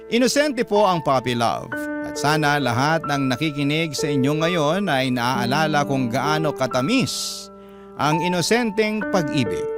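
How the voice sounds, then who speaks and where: Man, radio host narrator, gentle, sentimental, polite, loud music under voice. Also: fairly high pitch. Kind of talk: scripted narration of a listener's love story.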